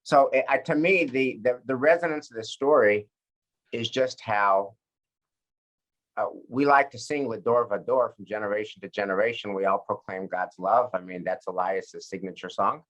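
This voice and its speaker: Low-voiced rabbi leading a discussion. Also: male voice, normal speed, a bit bright, rough recording. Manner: thoughtful, many fillers and restarts. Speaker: rabbi leading a study session. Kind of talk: conversational teaching discussion.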